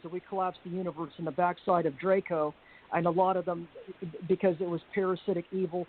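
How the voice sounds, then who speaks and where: A woman with a deep voice speaks over a thin, phone-like line with a faint hiss underneath. She rambles through a personal account, pausing on fillers and restarting words, her pitch staying fairly level. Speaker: panel guest. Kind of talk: personal account on a group call.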